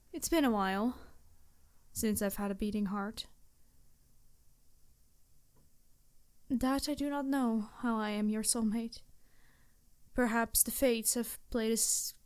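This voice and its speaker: A woman's voice: a little high, darkish tone, lightly acted. A woman voicing an embarrassed, centuries-old vampire in an ASMR roleplay, speaking formally and hesitantly, earnest and apologetic to the listener. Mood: earnest, composed.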